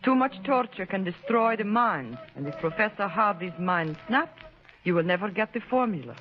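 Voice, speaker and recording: An actress playing a sharp, sarcastic, imperious spy countess in a 1930s radio drama. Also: female voice, medium pitch, lively intonation, normal speed, lightly acted. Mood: cool, cautionary. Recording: muffled 1930s radio broadcast recording.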